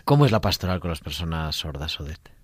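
Male radio host, very low voice, chatty interviewer, dry studio sound. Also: fast talker, breathy edge, dry recording. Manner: lively, chatty questioning.

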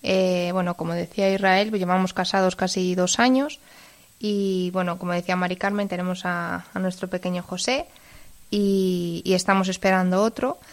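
Woman, late 20s, guest testimony, gentle halting delivery. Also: fairly low pitch, fairly even intonation. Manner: gentle and halting, many fillers. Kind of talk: personal testimony.

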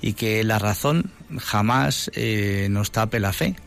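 A man's voice: lowish voice, lively intonation, slow, vocal fry, a bit bright. Man, radio call-in host, religious talk, earnest, preachy, filler words.

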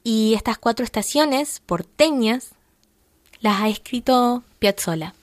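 A woman's voice: on the high side, lively intonation, slow pace, no room sound. A young woman from the Buenos Aires area with an Argentine accent, a violinist speaking earnestly as a radio interview guest. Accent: Argentine Spanish (Buenos Aires). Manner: earnest, heartfelt, with soft laughs.

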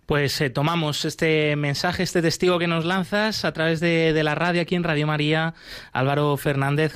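Male co-host, smooth and resonant, slightly high, dry studio sound. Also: dry recording. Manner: courteous, formal thanks. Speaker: radio co-host. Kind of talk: closing an interview on radio.

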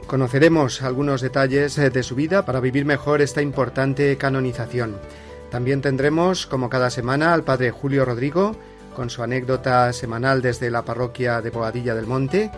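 A man with a Spain Spanish accent hosts a Sunday religious radio show at a middling pitch. He speaks in a measured, welcoming way and often pauses on "eh" fillers. Soft music plays faintly behind him. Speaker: radio host.

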